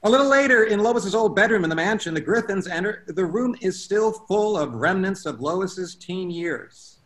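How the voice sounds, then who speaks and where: High-voiced man reading stage directions. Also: expressive, moderate pace, a bit bright, rough recording. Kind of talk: scripted narration at a table read. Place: room at home, video call.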